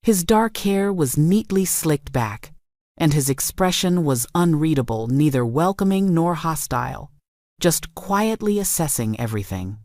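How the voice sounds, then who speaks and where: A woman reading a scripted dramatic story in a measured, solemn storytelling manner. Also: low-pitched, expressive, dark tone, no echo, studio quality. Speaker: story narrator.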